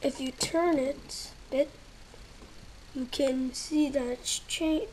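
A woman casually reviewing toys at home, halting and searching for words, sometimes dropping to a near whisper while handling pieces, recorded on a handheld camera held close. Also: high-pitched, steady tone, darkish tone, slight background noise, rough recording. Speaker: toy reviewer.

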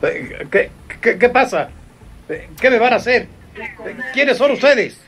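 An actor playing an obstinate, alarmed police captain in a radio drama. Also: male voice, very high voice, expressive, unhurried, a bit bright, exaggerated voice. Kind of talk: Mexican radio drama acting.

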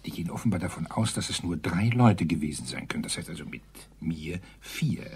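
An actor playing a rambling colonel in a German radio crime drama, hesitant then emphatic, with a husky, breathy voice. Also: male voice, sits low, brisk, a little mellow. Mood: matter-of-fact.

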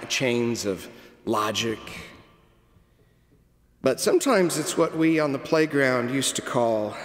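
A middle-aged man delivers a sermon from a cathedral pulpit microphone in a slow, measured way. His voice sits at an ordinary pitch, and long reverb trails behind each phrase. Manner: slow, deliberate storytelling with dramatic pauses. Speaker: cathedral dean preaching. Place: large stone cathedral, at a pulpit.

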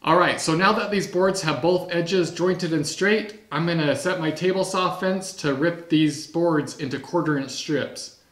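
A man's voice: a little high, a little slow, a bit bright. Casual man explaining a woodworking project. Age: 30s. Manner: conversational, explanatory. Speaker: woodworker and video host. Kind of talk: casual instructional vlog. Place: garage woodworking shop.

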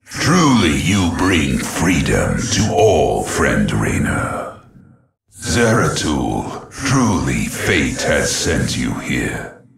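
An actor voicing a menacing alien dark warrior in a video game, with a solemn, ominous delivery and gravelly, echoing voice. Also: male voice, big pitch swings, very slow, soft background music, heavily acted. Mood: solemn, vengeful. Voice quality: very deep, gravelly. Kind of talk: dramatic video game unit lines.

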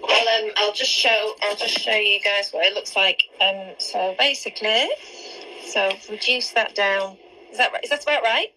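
A woman's voice: medium pitch, steady tone, normal speed, bright, forceful tone, a little hiss or hum, rough recording. A woman, hosting a live-stream cooking session from her home kitchen and asking her guest lots of questions while she cooks. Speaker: host. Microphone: phone camera at arm's length.

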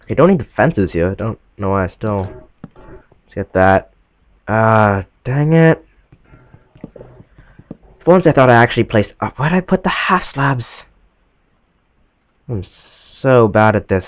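A man's voice: lowish voice, expressive, unhurried, pauses often, dry recording. A teenage boy who sounds stuffed up from a cold, rambling apologetically and poking fun at himself while commenting on his gameplay. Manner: rambling, self-deprecating and apologetic. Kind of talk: casual let's-play commentary. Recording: lo-fi recording with faint game music.

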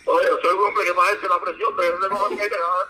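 Man on a phone call: conversational, piercing, higher-pitched, through a small speaker with slight noise. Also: little pitch movement, fairly quick. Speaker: prank call victim on the phone. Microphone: phone speaker picked up in a room. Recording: bright tinny speakerphone, faint noise.

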